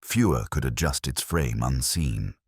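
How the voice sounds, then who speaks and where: A man playing a calm, shrewd police inspector in a mystery story, speaking in a measured, formal, cryptic way. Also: a bass voice, gravelly, breathy edge, no room sound, clean studio sound, heavily acted. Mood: gentle certainty.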